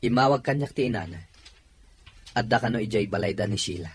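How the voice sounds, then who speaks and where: A man playing a resentful, lovelorn grown son in an audio drama, with a husky voice. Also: on the low side, lively intonation, fast, faint music behind, close to an everyday voice. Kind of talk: dramatized audio story acting.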